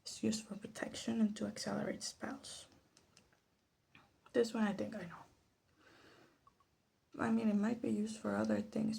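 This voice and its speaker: Hushed, creaky-voiced woman doing soft ASMR commentary. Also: mid-range voice. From Latin America. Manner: hushed, often slipping into a whisper; hesitant, musing, self-deprecating. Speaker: ASMR video host. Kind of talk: soft-spoken ASMR commentary while demonstrating. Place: bedroom. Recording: faint background noise, clicking sounds.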